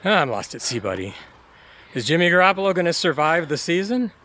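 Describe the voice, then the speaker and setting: A man's voice: on the high side, sing-song, brisk, bright, forceful tone, a little hiss or hum. A man playing a hapless, good-natured tennis pal in audio theatre, bewildered and self-deprecating. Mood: rueful, bewildered.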